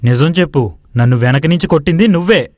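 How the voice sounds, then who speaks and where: Casting: man as stubborn, skeptical young villager, argumentative storybook hero, slightly high voice, dry sound. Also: lively intonation, fast, a little mellow, no echo, natural voice. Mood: accusing. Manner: assertive, argumentative. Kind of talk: acted moral-story dialogue.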